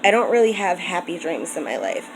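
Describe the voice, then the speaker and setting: A woman's voice: lowish voice, very animated, a little fast, noisy background. Earnest, rambling American woman vlogging. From United States. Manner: earnest, rambling, many ums and restarts. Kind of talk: casual personal vlog talk.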